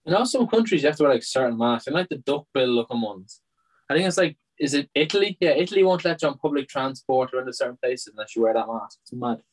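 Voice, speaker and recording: A man's voice: medium pitch, animated, fast talker, poor audio. A man chatting on a podcast in an easygoing, rambling way. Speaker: podcast co-host. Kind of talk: casual chat.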